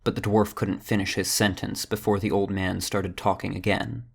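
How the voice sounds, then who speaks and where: Deep, gravelly male narrator. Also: normal speed. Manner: steady storytelling, does all character voices. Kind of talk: audio drama narration.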